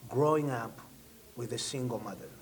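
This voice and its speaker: A man's voice: medium pitch, moderate pace. A man hosting a casual talk show interview, affirming the guest with frequent short replies. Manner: affirming, with frequent backchannels and laughs.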